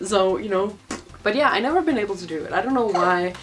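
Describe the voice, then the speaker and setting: A young woman speaks English with a Danish accent, casual and rambling, full of little fillers. Her pitch is average for a woman. Faint music plays behind her. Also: animated, fast. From Denmark. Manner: chatty, rambling, many fillers and laughs. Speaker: vlogger. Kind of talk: casual Q&A vlog.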